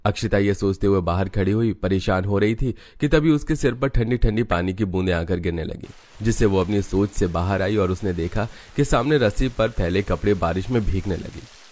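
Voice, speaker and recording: A man's voice: on the low side, normal speed, a little mellow. A man narrating a scripted cartoon family story as its storyteller.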